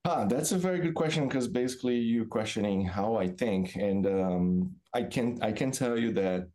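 Low, mellow Brazilian man, thoughtful. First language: Portuguese. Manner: thoughtful, explanatory, halting. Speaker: podcast guest, business professor. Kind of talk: reflective interview answers.

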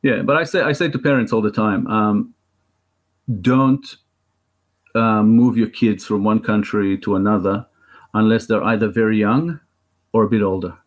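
A man in his thirties with an Israeli accent speaks as an interview guest. His voice sits a little low, and he talks in a thoughtful, halting way, often restarting his sentences. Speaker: guest filmmaker. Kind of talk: interview conversation.

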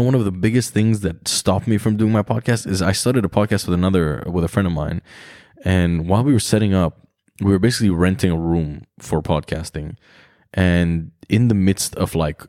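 A man's voice: deep voice, a little mellow, no echo, studio quality. A man hosting his own podcast, talking alone in his room in a rambling, reflective way and swearing freely. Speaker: podcast host. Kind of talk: casual solo podcast monologue.

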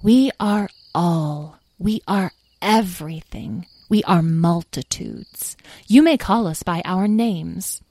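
A woman's voice: lowish voice, slow, a little mellow, no room sound. A woman voicing a haughty, ageless fairy for a storybook, solemn and disdainful of humans.

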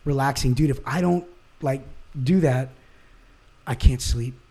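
A man's voice: mid-range voice, measured pace. A man in his forties chatting casually and informally, like a guest on a talk show. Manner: informal, says man and dude. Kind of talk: casual chat.

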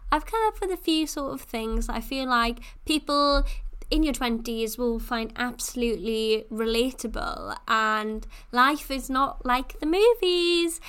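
A woman's voice: fairly high pitch, measured pace, fairly bright tone, clean tone. A woman, hosting a casual solo podcast and chatting freely, with plenty of "like" and "you know.". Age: 20s. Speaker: podcast host.

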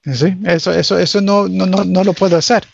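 A man from Nicaragua whose first language is English, speaking Spanish haltingly as a guest and reaching for English words. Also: high voice, fairly even intonation, vocal fry, muffled recording, slight background noise. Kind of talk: casual chat.

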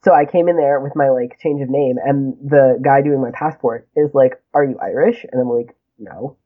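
A young man with a high voice, talking in a chatty, rambling way with lots of "like" and small laughs. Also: normal speed. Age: young adult. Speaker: guest, graduate student. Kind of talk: casual conversation. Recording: tinny phone-like husky sound.

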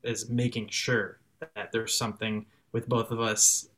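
A man's voice: lowish voice, a little slow. Young man, Chicago accent, first language French, casual, laughs a lot, video call. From Chicago, raised in France till six. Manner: laughs often, restarts and fillers, relaxed. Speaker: musician guest.

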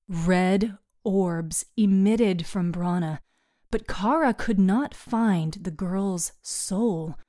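A woman narrating a scripted fantasy story in a measured, dramatic way, with hushed phrase endings. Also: medium pitch. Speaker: podcast host and storyteller.

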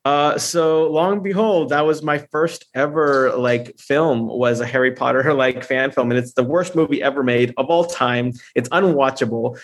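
A man's voice: medium pitch, steady tone, moderate pace, few pauses. A man in his thirties from Honduras, a filmmaker chatting as a podcast guest in an upbeat way, with lots of fillers and restarts. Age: 30s. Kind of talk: casual podcast interview.

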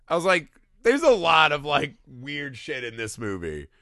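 A man with a bright, forceful and slightly high voice in a dry, close recording. He co-hosts a casual comedy podcast, swearing freely and ranting, often tossing in mock quotes. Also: wildly swooping pitch, moderate pace, no echo. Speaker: podcast co-host. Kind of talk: casual comedic movie discussion.

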